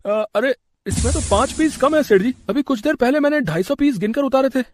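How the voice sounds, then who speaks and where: A man voicing a sly, fawning shop servant in an animated moral story, hesitant and full of excuses. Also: extremely high pitch, a little fast, a little hiss or hum, voice pushed up. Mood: alarmed, pleading.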